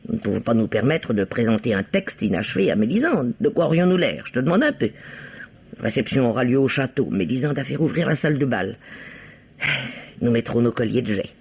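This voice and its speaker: Husky, swooping, bossy old spinster. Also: female voice, extremely low pitch, lively intonation, fairly quick, a little mellow, dull audio, full character voice. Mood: brisk, exasperated. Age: 70s. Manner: theatrical, scolding, primly chatty. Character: bossy elderly French spinster, exasperated but doting sister. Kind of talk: old-fashioned French radio mystery play acting.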